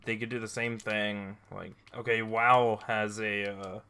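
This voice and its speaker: A young man streaming a game, chatting casually with friends and explaining things in a dry, drawn-out way. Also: on the low side, level tone, pushed and bright, clear, resonant tone. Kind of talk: casual gaming chat.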